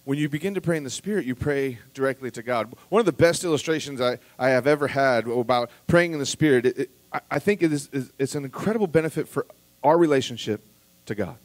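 Man preaching, mid-pitched voice, earnest, swells loud then hushed, dry close mic. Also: animated, fast, no echo. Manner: earnest, building to emphatic peaks then dropping quiet; repeats words when restarting, conversational asides to the congregation. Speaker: pastor. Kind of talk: sermon.

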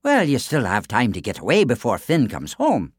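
A man voicing a clever, calm giant's wife in a storybook tale, soothing and sly in a raised voice. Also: medium pitch, normal speed, husky, dry recording, clean studio sound, voice pushed up.

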